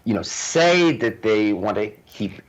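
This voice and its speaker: A man co-host speaking in a halting way, full of fillers and pauses. His voice sits on the low side. The audio is dull and lo-fi, with the top end muffled. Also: very animated. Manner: halting, with many fillers, warmly appreciative. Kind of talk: live-stream chat.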